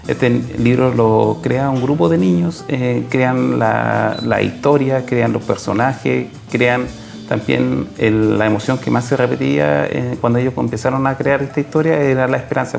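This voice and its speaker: A man with a Chilean accent answering as an interview guest, explaining haltingly and rephrasing as he goes. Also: mid-range voice, vocal fry. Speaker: social worker and program coordinator, guest.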